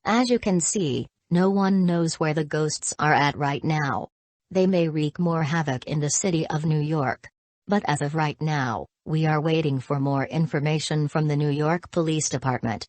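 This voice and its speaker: A woman acting as a serious television reporter on the scene. Her voice sits low and is recorded dry and close, with composed, formal, measured phrasing. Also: darkish tone, dry recording, lightly acted. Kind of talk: scripted news field report.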